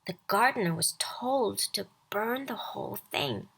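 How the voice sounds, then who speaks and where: A woman reading a scripted children's bedtime story aloud as storyteller, expressive and deliberate. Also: mid-range voice, gravelly, husky, rough recording.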